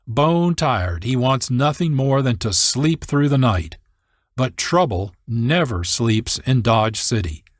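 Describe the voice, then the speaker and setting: A man's voice: mid-range voice, expressive, slow, no echo, clean studio sound. An American man reading scripted narration as the host of a radio program.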